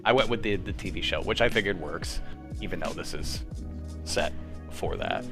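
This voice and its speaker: A man's voice: deep voice, normal speed, over music. A young man hosting a livestream in casual, jokey, self-deprecating group chat. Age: young adult. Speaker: host of a live script-reading stream.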